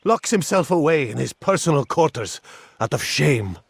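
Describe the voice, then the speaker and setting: An actor playing a cornered, cynical informant in a video game, talking in a conspiratorial, resentful way. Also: male voice, fairly high pitch, big pitch swings, normal speed, creaky, breathy edge, dry recording, full character voice. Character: cornered, cynical informant bargaining for his life. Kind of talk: video game cutscene acting.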